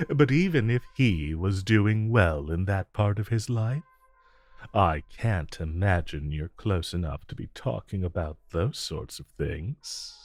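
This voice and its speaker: Man acting a low-voiced, flirty, smug supervillain. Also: expressive, darkish tone, full character voice. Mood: teasing, wry. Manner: teasing, coaxing, drawn-out phrasing. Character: flirtatious, smug infamous supervillain, a teasing regular customer. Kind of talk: romantic audio roleplay, addressed to listener.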